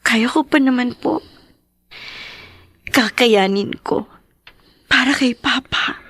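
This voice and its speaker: A woman's voice: fairly high pitch, a bit bright, slightly rough, no echo, clean studio sound, full character voice. A woman playing an earnest, emotional adult daughter, devoted and wounded, in a Filipino radio drama. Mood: weary, polite. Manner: slow, emotional, earnest delivery. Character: devoted, wounded adult daughter caring for her sick father.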